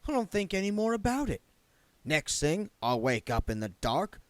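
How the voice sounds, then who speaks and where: A man's voice: high voice, big pitch swings, moderate pace, dry recording, pitched above the performer's own voice. A man voicing a blunt, gloomy older working-class man, a reluctant vampire count, in a book read aloud. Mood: grumbling.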